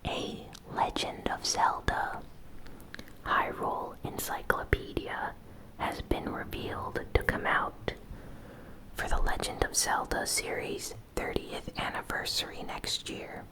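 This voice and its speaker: A woman narrates news in ASMR style, entirely in a breathy, unvoiced whisper. Her pace is slow and deliberate. She sets out each item softly, in short separate phrases, as the host of a show. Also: poor audio.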